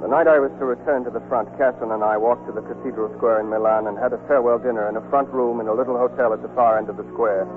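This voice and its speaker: A wistful soldier in love, played by a male actor, telling his story in a 1940s radio play. He is tender, with a mid-range voice. The old broadcast is tinny, with music clearly behind it. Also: medium pitch, fairly even intonation, very fast, few pauses, natural voice. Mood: wistful narration. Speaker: actor and narrator in a radio drama.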